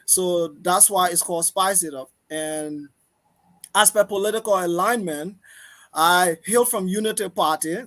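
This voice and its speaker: A man with a Liberian accent answering as an interview guest, phrasing things deliberately and repeating for emphasis. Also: high-pitched, a bit bright. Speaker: guest, political talk show host. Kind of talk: interview answers, self-introduction.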